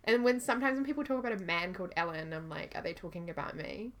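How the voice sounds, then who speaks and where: A woman's voice: lowish voice, lively intonation, fast talker. A woman co-hosting a podcast in casual chat, laughing often and acting out what people say. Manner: giggly, acts out quoted voices. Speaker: podcast co-host.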